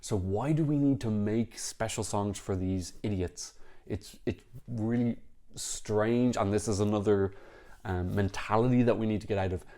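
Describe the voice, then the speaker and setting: Male vlogger, lowish voice, blunt, opinionated, unscripted commentary delivery. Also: warm tone. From Ireland. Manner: frank, critical, talks in quick bursts with restarts. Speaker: Eurovision reaction vlogger. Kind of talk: opinionated casual commentary to camera.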